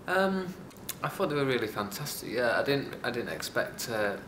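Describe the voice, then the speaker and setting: A man's voice: mid-range voice, big pitch swings, moderate pace. A hesitant man giving a casual vox pop as an audience member. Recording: noisy, echoey venue.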